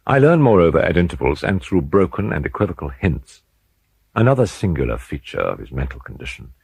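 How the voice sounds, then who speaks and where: A man reads gothic fiction in a very low, creaky voice. His delivery is solemn and deliberate, with theatrical phrasing and wide swings of intonation. The script is dramatic narration, shaped like an audiobook. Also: sing-song, darkish tone, no echo.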